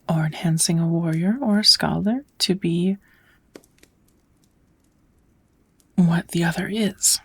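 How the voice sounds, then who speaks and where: A woman playing a kind witch who patiently teaches magic in a soft, often whispered ASMR roleplay voice. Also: on the low side, slightly rough. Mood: patient, encouraging. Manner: patient, encouraging, unhurried teaching. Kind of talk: ASMR roleplay, whispered lesson to one listener.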